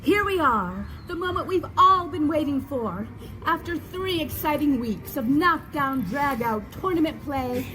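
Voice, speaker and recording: A woman acting a grandiose announcer in a stage comedy, chirpy and ceremonious. Her pitch is high, over a noisy live recording. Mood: excited. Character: grandiose, chirpy female announcer at overblown events.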